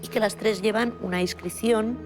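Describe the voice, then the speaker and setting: A woman's voice: medium pitch, fairly even intonation, quick pace, music in the background. A woman museum expert giving a matter-of-fact explanation of archaeological finds.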